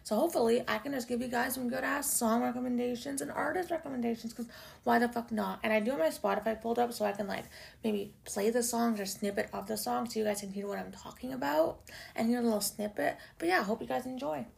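A young woman from Canada hosts her own podcast, chatting loosely and swearing as she goes. Her voice is low and raspy, with heavy vocal fry and a slightly raised pitch for a woman. She fills her talk with "like" and "y'all". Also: fast. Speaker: podcast host. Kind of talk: casual solo podcast chat. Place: home room in Toronto.

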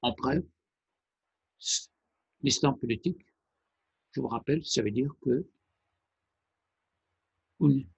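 Male presenter, measured and hesitant, husky low-energy delivery with a dry sound. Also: no room sound, rough recording. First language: Persian. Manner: deliberate, halting phrasing with pauses. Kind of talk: explanatory monologue.

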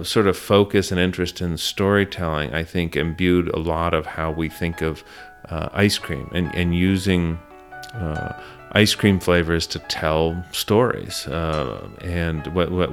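Business-owner interviewee, male, low deep voice, conversational and halting, light music underneath. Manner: halting, repeats words. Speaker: ice cream shop owner, interview guest. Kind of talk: casual interview storytelling.

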